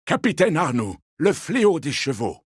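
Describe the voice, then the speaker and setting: An actor voicing a gentle, sociable elderly man in a video game, courteous and wistful, teasing softly and taking his time. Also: on the high side, sing-song, moderate pace, husky, dry recording, studio quality. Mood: wry, animated. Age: 70s or older. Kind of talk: video game character dialogue.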